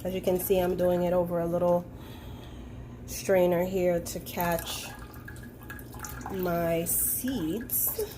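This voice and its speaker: A woman's voice: fairly low pitch, slow, long pauses, background noise. A woman private chef, sounding nasal from a head cold, chatting casually with lots of ums as she walks through a recipe in her kitchen on a handheld camera. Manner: chatty, relaxed, many ums. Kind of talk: home cooking demonstration. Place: home kitchen. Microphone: handheld phone camera.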